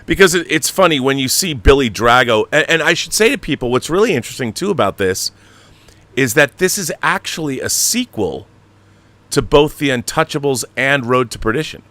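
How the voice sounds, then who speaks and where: A man hosting a casual livestream, explaining things in a rambling, animated, slangy way, like a director talking shop. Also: mid-range voice, brisk, no room sound. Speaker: show host and audio drama director.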